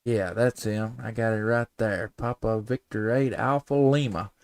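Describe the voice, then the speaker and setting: An American man chatting casually as an amateur radio host, in a folksy, easygoing way with thinking pauses. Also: fairly low pitch, fairly even intonation, no room sound, studio quality. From United States. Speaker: amateur radio operator, live stream host. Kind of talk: casual radio contact chat.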